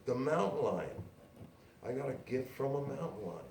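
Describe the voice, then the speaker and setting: A man voicing a brash, excitable woman publisher with an emphatic, insistent manner in a literary reading. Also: medium pitch, normal speed, pitched above the performer's own voice, lightly acted. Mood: awed, excited. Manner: emphatic, breathless insistence, calls people dearie. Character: brash, excitable woman small-press publisher, proud and gushing. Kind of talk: dialogue in a live literary reading. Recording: roomy, distant live recording with noise.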